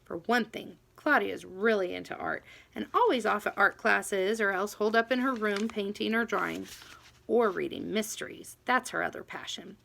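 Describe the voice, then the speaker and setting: A woman reading a children's novel aloud in an expressive, animated first-person storytelling manner. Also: medium pitch, moderate pace, creaky. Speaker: audiobook reader.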